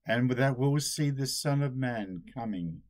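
An older man, a priest, giving a solemn sermon at a slow, deliberate pace, recorded on a webcam in a small room at home. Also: medium pitch, lively intonation, a little mellow.

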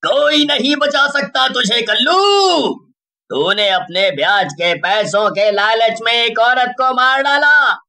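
A man's voice: extremely high pitch, slow, gravelly, bright, forceful tone, exaggerated voice. A man voicing a knowing old tantric holy man in a horror cartoon, making solemn, authoritative pronouncements. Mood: stern. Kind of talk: Hindi horror cartoon acting.

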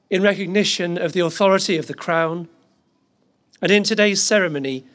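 A man acting as master of ceremonies outdoors, making a formal announcement in a measured, stately manner. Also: high-pitched, a bit bright. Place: outdoor market place ceremony.

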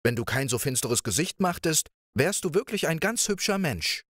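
A man voicing a cheerful, carefree young princess with a playful, lively way of speaking. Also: a little high, moderate pace, no room sound, studio quality, voice pushed up. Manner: bright, playful, lively questioning.

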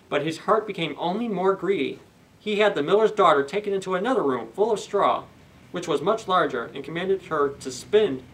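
A man in his thirties reading a children's story aloud expressively, pausing for asides to young listeners. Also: on the high side, a bit bright. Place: indoors, library children's room. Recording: slightly distant camera microphone.

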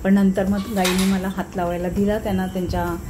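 A woman's voice: mid-range voice, flat delivery, fast talker, noisy background. A woman narrating a casual vlog tour of a farm, eagerly pointing things out to viewers. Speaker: travel vlogger. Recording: music playing underneath.

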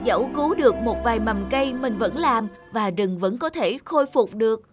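A woman voicing a loyal, kind male elephant in a cartoon, earnest and gentle. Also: on the high side, animated, moderate pace, lightly acted. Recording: music behind the voice.

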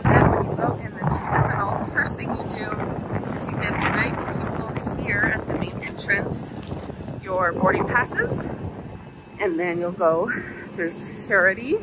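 A woman's voice: mid-range voice, a little slow, heavy background noise. A woman in her thirties from the United States, vlogging to a phone camera on a ship deck and at a port terminal, chatty and explaining each step. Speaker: travel vlogger. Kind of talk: casual vlog to camera. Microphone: phone camera at arm's length.

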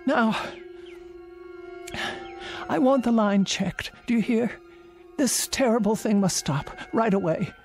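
A woman's voice: a little high, takes it slowly, long pauses, darkish tone, faint music behind. An older actress playing an anxious, insistent, pleading elderly invalid woman in a radio drama. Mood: agitated demanding. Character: frightened, fretful elderly invalid woman living alone.

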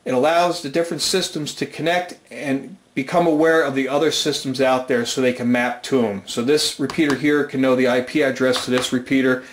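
A man's voice: mid-range voice, steady tone, fairly quick, hardly any pauses. A man giving a plain, methodical hobby tutorial as a presenter, recorded indoors in a room at home. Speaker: amateur radio demonstrator and presenter. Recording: roomy, echoing home room.

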